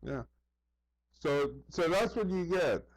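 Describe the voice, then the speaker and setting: A man with a somewhat higher-pitched voice speaks in a loose, conversational monologue. He circles back over his phrases, drops in "you know" as he goes, and voices other people's remarks while telling his stories. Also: expressive, slow, low-quality recording. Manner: conversational, repeats himself, often says "you know". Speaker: vlogger. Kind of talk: casual rambling monologue with anecdotes.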